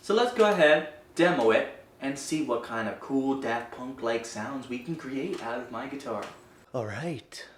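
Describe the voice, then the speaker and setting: A man's voice: mid-range voice, expressive, a little slow. A man hosting a gear review video, explaining settings in an informal, hesitant, casual way. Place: indoor room with guitar amp. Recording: roomy, distant mic with faint noise.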